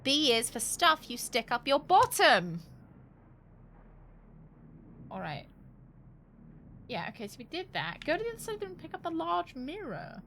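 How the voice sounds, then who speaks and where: A woman's voice: high-pitched, sing-song, moderate pace, fairly bright tone, a little hiss or hum. A woman doing casual live game commentary, thinking aloud in a playful, cheeky, rambling way and swearing freely. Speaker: game streamer.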